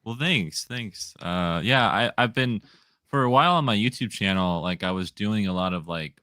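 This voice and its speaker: A man in his twenties with a deep, radio-style voice, talking easily and meanderingly as a comic writer guest in a casual interview. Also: animated, normal speed, sharp tone, clean tone, no room sound, clean studio sound. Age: 20s. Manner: easygoing, meandering sentences.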